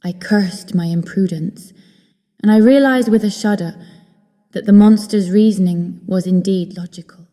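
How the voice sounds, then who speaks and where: An actress playing a frightened, remorseful young captive woman, narrating her ordeal in a hushed, solemn, deliberate way for a gothic audio drama. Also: mid-range voice, lightly acted. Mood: shaken, solemn. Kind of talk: dramatic first-person narration. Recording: long hall reverb, music underneath. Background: music.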